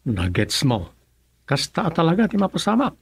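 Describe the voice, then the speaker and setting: A man acting an opinionated townsman in a radio comedy drama, with an Ilocano accent and a gravelly, animated, colloquial delivery. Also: fairly high pitch, normal speed, exaggerated voice.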